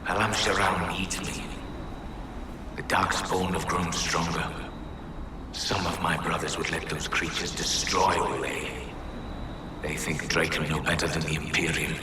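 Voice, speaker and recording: A grave, weary elven warrior in a game monologue. His voice is extremely low and echoes from a little way off. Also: level tone. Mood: grave resolve. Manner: grave, measured, tender. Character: solemn elven warrior leader of a past age, weary and devoted. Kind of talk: dramatic video game monologue. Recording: roomy, distant, noisy game cutscene audio.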